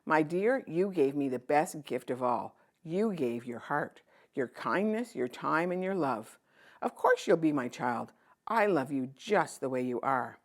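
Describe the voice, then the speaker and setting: A woman voicing a kind, weary king disguised as a merchant in a children's storybook, speaking in a gentle, fatherly manner. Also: low-pitched, animated, a little slow, full character voice. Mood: tender. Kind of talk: children's storybook read-aloud.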